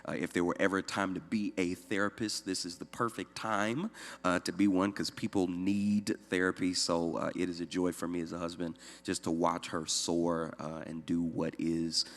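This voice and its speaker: A man's voice: low voice, level tone, moderate pace. A man in his thirties from upstate New York, a young adult pastor, speaking in an easygoing, joking way with many fillers. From Syracuse, New York. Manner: easygoing, many "uh" fillers, self-deprecating humor. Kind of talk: church talk, personal testimony. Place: church room. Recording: long hall reverb.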